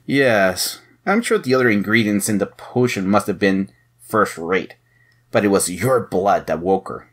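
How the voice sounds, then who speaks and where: A man plays a shrewd head agent in an audio drama. His voice is on the low side, and he talks in a probing, sardonic way, full of suspicion. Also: animated, a little slow. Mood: suspicious.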